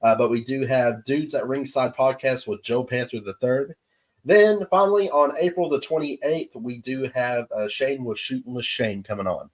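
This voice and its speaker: Stuffy-nosed man hosting a live stream. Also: mid-range voice. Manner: easygoing, halting with many pauses. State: stuffy nose from allergies. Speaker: show host. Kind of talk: casual livestream chat with viewers.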